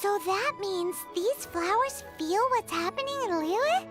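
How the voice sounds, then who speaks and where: An actress voicing a tiny, childlike fairy companion in an anime-style video game, squeaky, cheeky and excitable. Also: female voice, measured pace. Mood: curious. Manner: excitable, exclaiming, refers to self in third person. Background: game music.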